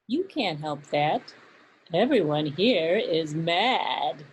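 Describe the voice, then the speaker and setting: A woman voicing a mad, grinning cat who speaks in a slow drawl in a puppet show. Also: low voice, animated, slight background noise, voice pushed down, low-quality recording. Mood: sly.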